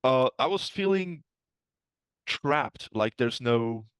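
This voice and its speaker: A man's voice: mid-range voice, expressive, slow pace, a bit bright, smooth, dry recording. A man, a guest in a casual interview, speaking candidly and reflectively with easy laughs. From Poland. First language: Polish. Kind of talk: casual conversation.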